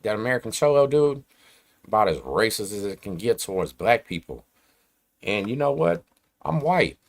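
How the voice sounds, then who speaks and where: Streetwise man ranting, low voice. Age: 40s. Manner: streetwise slang, emphatic and repetitive. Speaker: host. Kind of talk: casual rant to camera.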